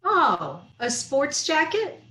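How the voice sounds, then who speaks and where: A woman's voice: high voice, wildly swooping pitch, takes it slowly, pushed and bright, roomy sound, exaggerated voice. A woman playing a dry-witted, sarcastic schoolteacher in old-time radio comedy style, recorded over a video call in a room. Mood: wry. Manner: wry, sarcastic comebacks. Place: echoey room, remote video-call performance.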